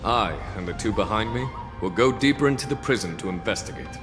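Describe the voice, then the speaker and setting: An actor playing a composed, dutiful army general in a fantasy video game, speaking formally and with command. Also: male voice, lowish voice, expressive, fairly quick, lightly acted. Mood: assured, courteous. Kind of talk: dramatic video game voice acting. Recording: long echoing hall reverb, music behind.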